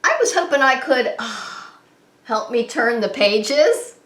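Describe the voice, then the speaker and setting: A woman preschool teacher leading story time, talking to young children in an animated, sing-song way with questions she answers herself. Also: on the high side, slow. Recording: roomy, echoing indoor sound.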